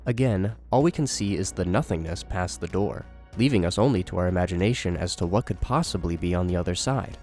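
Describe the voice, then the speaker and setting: A man with a low voice narrates and comments on a horror series from a script. Faint music runs behind his delivery. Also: expressive, brisk, hardly any pauses, darkish tone.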